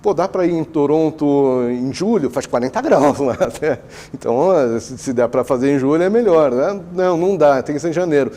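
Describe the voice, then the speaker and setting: A Brazilian man, an education consultant, gives animated, chatty answers in a TV studio interview and acts out talks with clients. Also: on the high side, moderate pace.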